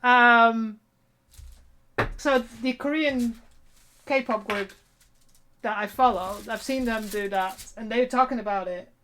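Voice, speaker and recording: A woman's voice: on the high side, takes it slowly, long pauses, a bit bright, slight background noise. A woman in her forties chatting casually on a live stream while sewing, rambling and laughing at herself. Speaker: cosplay streamer.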